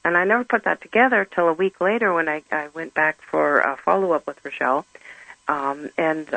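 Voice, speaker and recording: A middle-aged woman whose first language is German, speaking earnestly and haltingly as an interview guest. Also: sits low, creaky, fairly bright tone, dry recording, poor audio. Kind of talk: personal testimony in conversation.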